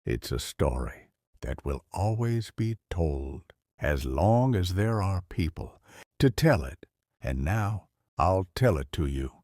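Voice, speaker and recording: An actor playing a kindly old grandfather who tells Bible stories in an unhurried, expressive, theatrical way. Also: low-pitched, no room sound, clean studio sound, full character voice. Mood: earnest. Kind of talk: dramatized audio story narration.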